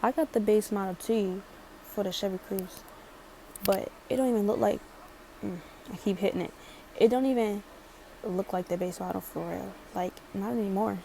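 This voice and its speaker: A woman's voice: medium pitch, normal speed, creaky, dark tone, slight background noise, poor audio. A chatty young woman from Maryland with a light Baltimore accent, rambling sassily through a casual storytime. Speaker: vlogger.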